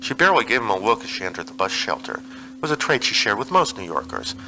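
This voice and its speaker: A man's voice: fairly low pitch, wildly swooping pitch, quick pace, gravelly, noisy background. A man reading a piece of fiction aloud as a narrator, in a scripted audiobook style.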